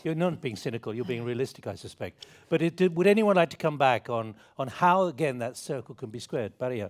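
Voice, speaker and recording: Panel moderator, man, quick pace, pushes speakers with pointed questions. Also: mid-range voice, lively intonation. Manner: brisk, prodding questions. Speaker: debate moderator.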